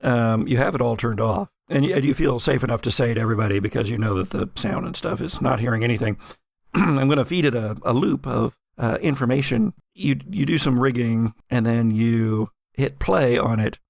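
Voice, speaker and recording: Creaky-voiced man narrating as game master. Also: medium pitch, brisk, no echo. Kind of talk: narrating a horror tabletop roleplaying game.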